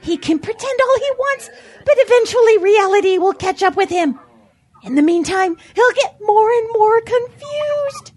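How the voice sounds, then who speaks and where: A woman's voice: very high voice, expressive, moderate pace, a little mellow. A woman voicing a sensible, pious female farm animal who soothes and moralizes earnestly in an audio drama. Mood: earnest, reasoning.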